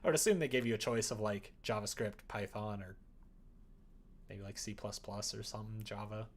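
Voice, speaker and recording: A man chatting casually on a livestream as he codes, rambling in an animated, opinionated way. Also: on the low side, moderate pace, slight background noise. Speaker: live-streaming programmer. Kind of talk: casual livestream chat while coding.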